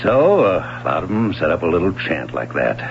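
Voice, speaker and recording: A deep, amused swimming coach. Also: male voice, sing-song, brisk, gravelly, slight background noise, full character voice. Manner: amused, easygoing, chuckling. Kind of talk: 1970s radio drama acting. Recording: dull lo-fi old radio broadcast.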